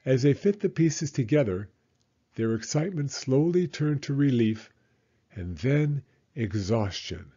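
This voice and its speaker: Expressive male storyteller, animated and mellow. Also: medium pitch, unhurried, husky, muffled recording. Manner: expressive, dramatic. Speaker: children's story reader. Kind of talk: scripted storybook narration.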